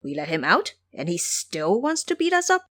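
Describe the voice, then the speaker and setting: A woman's voice: fairly low pitch, wildly swooping pitch, normal speed, a bit bright, dry recording, studio quality. A woman voicing a timid, humble minor earth god with a flustered, pleading manner in an audio drama. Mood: bewildered, fearful.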